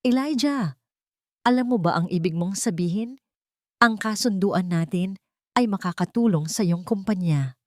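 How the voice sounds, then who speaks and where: A woman's voice: medium pitch, lively intonation, moderate pace, long pauses, darkish tone, no echo, clean studio sound, close to an everyday voice. A woman voicing a stern older male senator in a drama, authoritative and demanding. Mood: angry.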